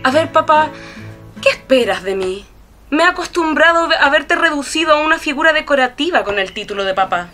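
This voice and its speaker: High-voiced frivolous young socialite. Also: female voice, lively intonation, brisk, pushed and bright, faint music behind. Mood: bored, condescending. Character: cool, frivolous, cynical young society daughter. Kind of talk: radio drama acting.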